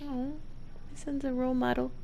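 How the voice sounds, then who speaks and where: A woman's voice: sits high, flat delivery, moderate pace, creaky, noisy background. A young woman streamer giving casual, playful gameplay commentary in slangy exclamations. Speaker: game streamer.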